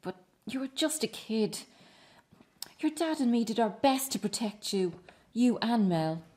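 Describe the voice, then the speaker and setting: A middle-aged estranged wife and mother in a radio play acted by a woman, earnest and wounded. She speaks haltingly and with feeling, as if confessing, sounding remorseful and a little defensive. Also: a little high, expressive, a little mellow. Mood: apologetic. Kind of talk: radio drama acting.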